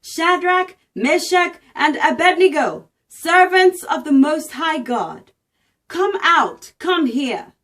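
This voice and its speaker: A woman's voice: high voice, lively intonation, slow pace, fairly bright tone, recorded from a distance, pitched above the performer's own voice, exaggerated voice. A woman voicing a proud, awestruck king in a dramatic scripture reading, with a commanding, declamatory delivery. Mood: shouting, urgent. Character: proud, awestruck Babylonian king proclaiming loudly.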